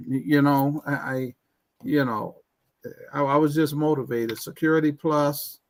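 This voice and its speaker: A man's voice: fairly high pitch, steady tone, measured pace. A man talking as a guest in a casual online chat, stammering with many restarts. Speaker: guest IT professional.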